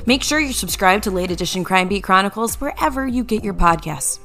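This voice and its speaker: A woman hosting a podcast in a conversational, informal and expressive way. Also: mid-range voice, fairly quick, faint music behind. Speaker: podcast host.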